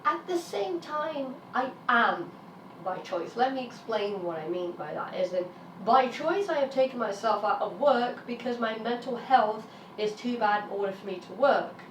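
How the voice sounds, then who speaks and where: A British woman in her early thirties talks candidly to camera, her voice slightly raspy and pitched a bit high. She sounds a step back from the mic, in a roomy space with a faint hum of ambience behind her. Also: lively intonation, moderate pace, recorded from a distance. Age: 30s. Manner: frank, emphatic, swears when frustrated. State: raspy while getting over an illness. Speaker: vlogger. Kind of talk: candid personal vlog monologue.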